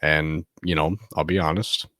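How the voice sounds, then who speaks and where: Man, 30s, podcast host, very deep voice, soft close-mic delivery, dry sound. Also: fairly even intonation, moderate pace, dry recording. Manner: speaking softly and close to the mic, laid-back and candid. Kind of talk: casual late-night solo podcast. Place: home at night. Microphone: close to the microphone.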